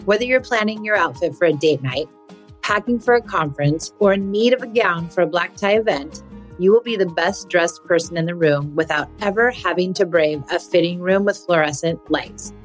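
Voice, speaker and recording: A woman's voice: lowish voice, normal speed, faint music behind. A woman host reading a sponsor ad in a friendly, chatty way. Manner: friendly, chatty promotional delivery. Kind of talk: ad read.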